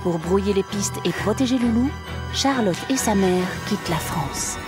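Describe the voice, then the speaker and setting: A woman reading scripted recap narration for an animated series. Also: mid-range voice, moderate pace, lightly acted. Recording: music behind the voice.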